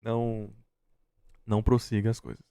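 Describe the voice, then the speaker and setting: A Brazilian man with a relaxed, mellow tone talks informally and opinionatedly. His delivery is emphatic and full of slang. The sound is dry and close. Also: fairly low pitch, moderate pace, creaky, clear, resonant tone, no room sound. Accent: Brazilian Portuguese. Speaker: reaction stream host. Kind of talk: casual opinionated commentary.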